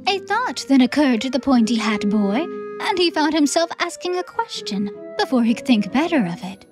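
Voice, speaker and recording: A woman's voice: high-pitched, sing-song, normal speed, over music. A woman reading scripted fantasy storybook narration as an audiobook narrator.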